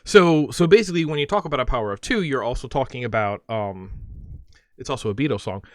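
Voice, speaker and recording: A man hosting a casual livestream, talking and explaining things in a rambling, animated way. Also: mid-range voice, fast, dry recording, clean studio sound. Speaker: livestream host. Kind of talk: casual livestream chat with his mother.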